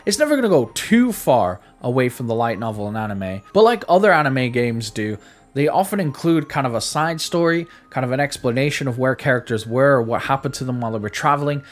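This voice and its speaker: A man presents a video game review in a fairly high-pitched voice. His narration is scripted but informal, with chatty asides and occasional stumbles over words. Soft music plays behind him.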